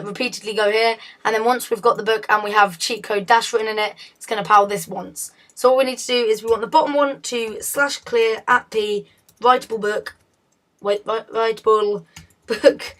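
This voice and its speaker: A woman whose voice is hoarse and fading walks viewers through a game build. She talks casually and corrects herself as she goes, pitched mid-range for a woman. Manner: chatty, self-correcting, often laughs at slips. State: hoarse, losing her voice. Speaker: video tutorial host.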